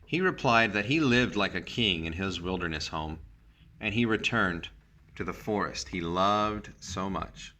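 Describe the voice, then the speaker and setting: Male storybook reader, lowish voice, teacherly and measured, narrating with short explanatory asides. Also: expressive, pushed and bright, a little hiss or hum. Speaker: teacher reading a picture book aloud. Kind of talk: storybook read-aloud with brief asides.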